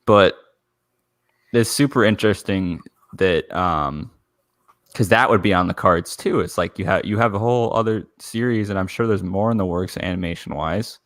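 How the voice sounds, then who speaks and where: A man's voice: low-pitched, normal speed, a bit bright, no room sound, clean studio sound. A man co-hosting a podcast in casual discussion, speaking informally and giving his opinions freely. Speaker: podcast co-host.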